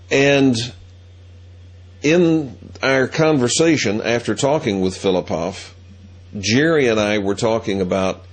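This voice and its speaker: Male host, middle-aged, lowish voice, deliberate storyteller. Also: slightly rough. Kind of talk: monologue.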